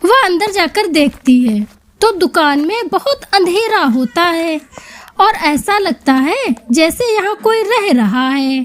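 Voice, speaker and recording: A woman's voice: very high-pitched, animated, slow. A woman narrating a scripted story as its storyteller.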